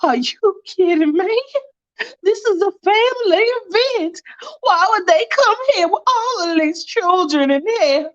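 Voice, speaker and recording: Woman performer as a high-pitched, desperate, pleading female lead in a told drama; lo-fi recording. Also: animated, a little slow. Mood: tearful panic. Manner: repeats pleas urgently. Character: frantic, pleading, morally insistent woman named Faith. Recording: dull lo-fi sound with music behind.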